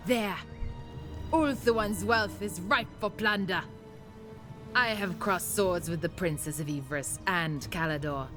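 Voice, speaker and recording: A woman playing a bold, ruthless pirate queen captain in a video game, with a commanding theatrical delivery. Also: lowish voice. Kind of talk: scripted dramatic game narration. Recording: loud music behind the voice. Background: music.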